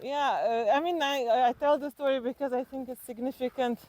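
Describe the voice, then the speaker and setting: A woman from Slovenia with a Slovenian accent, telling personal stories reflectively in conversation and haltingly thinking aloud as an interview guest. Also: high-pitched, fairly even intonation, darkish tone, no room sound.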